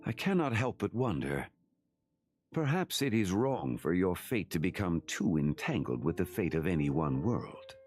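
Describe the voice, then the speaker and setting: A very deep, formal ancient sage, speaking with gravity yet moving through his phrases with lively rises and falls. Faint music sits under his game dialogue. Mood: solemn. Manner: formal, measured and grave. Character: ancient, composed male sage and consultant.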